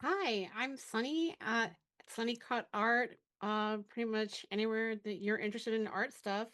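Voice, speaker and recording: A woman's voice: on the high side, measured pace, a bit bright. A woman introducing herself casually as a guest on a livestream call, informal and a little self-effacing. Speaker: panel guest, artist. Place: remote video call livestream.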